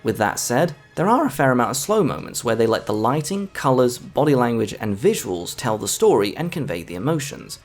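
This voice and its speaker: A man's voice: medium pitch, very animated, a little fast, hardly any pauses. A man giving scripted video essay narration as a film reviewer, with an enthusiastic, emphatic delivery. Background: background music.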